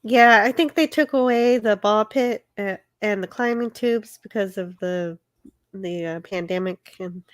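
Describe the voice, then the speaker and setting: Lady co-host just chatting, average pitch, really dry sound with no room on it. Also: a little slow, no echo. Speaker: livestream co-host. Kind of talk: casual chat.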